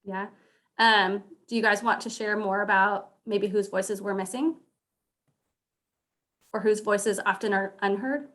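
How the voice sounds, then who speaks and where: A woman's voice: medium pitch, fairly even intonation, a little slow, pauses often, a bit bright. Woman moderator, encouraging, reads out questions. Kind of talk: moderating a panel.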